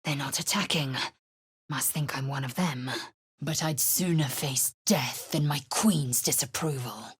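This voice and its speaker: A woman's voice: extremely low pitch, measured pace, slightly rough, no echo, exaggerated voice. An actress voicing a proud, fierce alien warrior woman who speaks curtly and with contempt, in a video game. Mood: wary. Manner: curt, commanding, contemptuous. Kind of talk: video game character voice lines.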